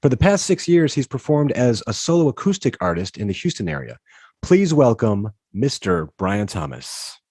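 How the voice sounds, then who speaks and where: A man's voice: medium pitch, very animated, moderate pace, darkish tone, husky, dry recording, clean studio sound. A man hosting a casual interview podcast, talking loosely with lots of restarts and fillers. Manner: rambling, many restarts and fillers. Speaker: podcast host.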